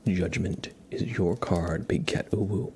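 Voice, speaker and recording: A young adult man speaking softly and gently as an ASMR livestreamer, half whispering as he chats casually to viewers. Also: deep voice, steady tone, measured pace, a little hiss or hum. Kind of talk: soft-spoken ASMR, casual chat with viewers.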